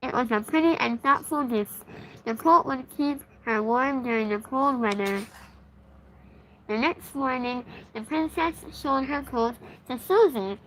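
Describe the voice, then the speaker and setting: A woman's voice: high-pitched, measured pace, a bit bright. A woman hosting a read-aloud and reading a children's story. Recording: clearly noisy background.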